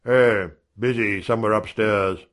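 Audiobook role: male reader as awkward gentleman, lowish voice, evasive, hesitant, easily piqued. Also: fairly even intonation, measured pace. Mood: polite. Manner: vague, neutral, hesitant. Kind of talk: audiobook character dialogue.

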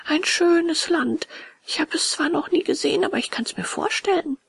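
A woman's voice: very high voice, normal speed, gravelly, a little hiss or hum, pitched above the performer's own voice. A woman voicing an eager, naive wooden puppet boy in a storybook, exclaiming and repeating words emphatically as the boy wavers. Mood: dreamy. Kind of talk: storybook character dialogue.

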